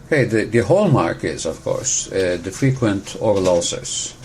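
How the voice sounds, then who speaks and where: A man from Turkey, a physician calmly explaining a disease in an interview, precise and pausing to rephrase. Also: low voice, a little hiss or hum. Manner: measured, precise, pauses to rephrase. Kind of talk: explanatory medical interview answers.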